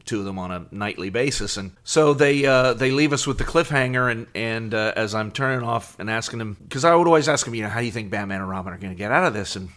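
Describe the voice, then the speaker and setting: A middle-aged man co-hosting a podcast, telling casual anecdotes in a halting, conversational way. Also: medium pitch, a bit bright. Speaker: podcast co-host.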